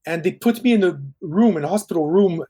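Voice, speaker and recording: A middle-aged man with a Parisian French accent, a film director chatting in an interview, animated and quick, often restarting his sentences. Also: high-pitched. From Quebec, Canada. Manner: animated storyteller, quick bursts, frequent restarts. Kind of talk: casual interview chat.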